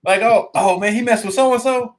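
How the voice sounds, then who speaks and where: A man's voice: very high-pitched, moderate pace, slight background noise. A man co-hosting a casual podcast, talking in a blunt, opinionated way with informal, slangy phrasing. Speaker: podcast co-host. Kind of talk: casual conversation.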